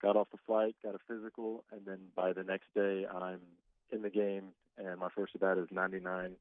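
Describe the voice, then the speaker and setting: A man's voice: sits low, brisk, no room sound. A man of twenty-five, a guest baseball player, talking casually by phone and laughing often. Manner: relaxed, chatty, laughs often. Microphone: phone call. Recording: tinny dry phone line.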